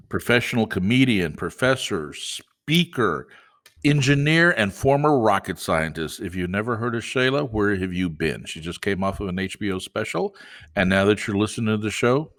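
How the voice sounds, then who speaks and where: A man hosting a business podcast, interviewing a guest in a casual, teasing way with laughs and halting restarts. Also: on the low side, a bit bright. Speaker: podcast host.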